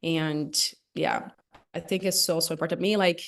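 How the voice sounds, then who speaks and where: Woman, thirties, Brazilian accent, native Portuguese speaker, lowish pitch, casual interviewer. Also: steady tone, moderate pace. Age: 30s.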